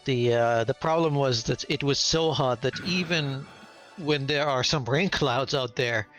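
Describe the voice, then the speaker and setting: A man's voice: mid-range voice, measured pace, fairly bright tone, faint music behind. A man in a casual group chat, talking earnestly and hesitantly with many fillers.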